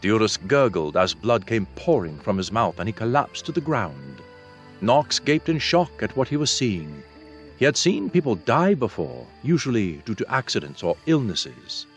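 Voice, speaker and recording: A man's voice: fairly low pitch, sing-song, moderate pace, soft background music. A man reading a fantasy novel aloud as scripted audiobook narration.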